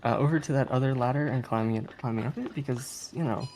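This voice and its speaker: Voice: mid-range voice, quick pace, darkish tone, slight background noise, low-quality recording. A speedrunner giving casual live commentary in a hesitant, chuckly, playful way. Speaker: speedrunner commentating on their own game run. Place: live streamed event, voice over gameplay audio.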